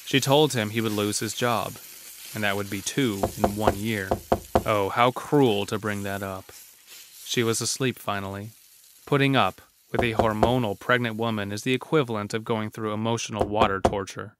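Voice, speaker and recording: Low-pitched male horror story narrator. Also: fairly even intonation, normal speed, heavy background noise.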